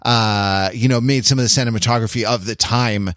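A man with a slightly low voice talks as a podcast co-host in a very dry, close recording. His delivery is casual and digressive, circling back and breaking off as he works through a thought about film. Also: steady tone, fairly bright tone, no room sound. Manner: halting, digressive, self-interrupting, uses many hedging asides.

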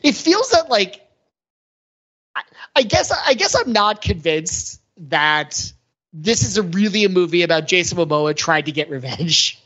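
A man's voice: high-pitched, sing-song, measured pace, fairly bright tone. Slightly dull-sounding man, analytical podcast co-host. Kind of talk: casual film discussion.

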